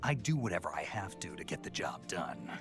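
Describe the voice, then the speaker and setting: A stoic male commando from a video game. His voice is very deep, a breathy, gravelly growl, and his terse, earnest lines move up and down in pitch. Soft music runs behind him. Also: big pitch swings, a little fast. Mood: stoic, gruff. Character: gruff, stoic male special forces soldier. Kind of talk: dramatic video game voice acting.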